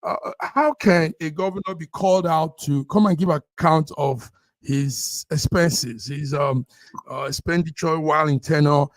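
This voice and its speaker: Male host, Nigerian accent, slightly high voice, halting delivery, news panel moderation. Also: dry recording.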